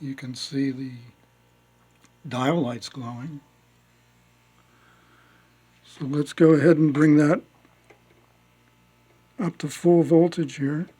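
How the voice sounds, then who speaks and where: A man giving a casual step-by-step demonstration as a hobbyist, speaking haltingly with pauses as he works. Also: a little high, fairly even intonation, dark tone, breathy edge. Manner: halting, pausing mid-sentence as he works. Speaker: hobbyist restoring a vintage radio.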